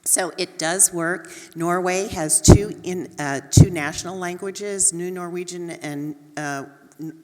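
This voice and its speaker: A woman's voice: fairly low pitch, fairly even intonation, unhurried. A woman with a Texas accent, a nursing dean speaking on a panel in an earnest, encouraging, conversational way. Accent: Texan. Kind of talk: panel talk. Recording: long hall reverb.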